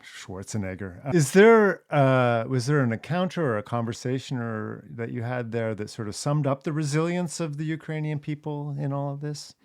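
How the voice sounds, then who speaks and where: A man's voice: mid-range voice, moderate pace, hardly any pauses, no echo, clean studio sound. A man hosting an interview podcast, asking questions and answering with short affirmations. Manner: brief affirmations, asks leading questions. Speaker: podcast host.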